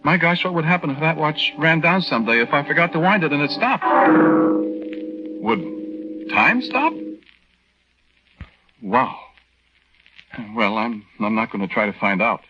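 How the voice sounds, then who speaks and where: A man's voice: a little high, very animated, unhurried, long pauses, soft background music. A man playing a meek, earnest young American army private in a 1940s radio drama, hesitant and stammering, confiding his story with folksy exclamations. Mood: confiding, agitated. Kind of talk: 1940s radio drama acting, confiding first-person narration. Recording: dull, band-limited 1940s radio recording.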